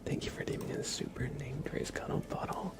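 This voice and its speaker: A man hosting an ASMR livestream, chatting casually and gently to viewers in a soft breathy whisper, close to the microphone. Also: fairly quick. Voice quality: breathy whisper with heavy fry.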